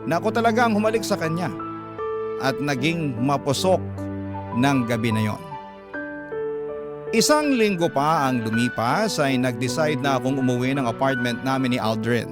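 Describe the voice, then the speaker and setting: A man's voice: medium pitch, expressive, normal speed, long pauses. A man narrating a scripted love story for radio, told as a dramatic, confessional first-person account. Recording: piano music behind voice. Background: piano music.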